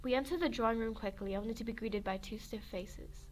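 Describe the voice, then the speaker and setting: A woman's voice: fairly high pitch, brisk, a little mellow. Giggly young woman reading a story game. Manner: playful, giggly asides, self-correcting. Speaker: game narrator. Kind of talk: reading an interactive story game aloud with jokes. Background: faint music.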